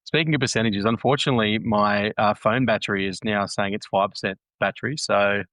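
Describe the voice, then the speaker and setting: Low-voiced man talking earnestly. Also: fairly even intonation, brisk, a bit bright, no room sound. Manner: earnest, reflective, repeating phrases. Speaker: podcast guest. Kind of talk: casual conversation.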